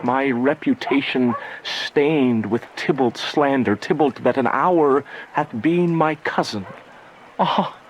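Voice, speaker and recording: An actor playing a passionate, grieving and vengeful young lover in a Shakespearean radio drama, earnest and declamatory. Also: male voice, on the high side, a little hiss or hum, poor audio. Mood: anguished regret.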